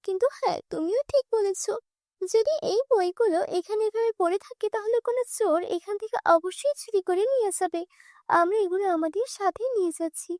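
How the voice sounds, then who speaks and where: A gentle, responsible elder sister in a cartoon fairy tale voiced by a woman. Her high, girlish voice is earnest and reassuring, recorded close and dry. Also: dry recording.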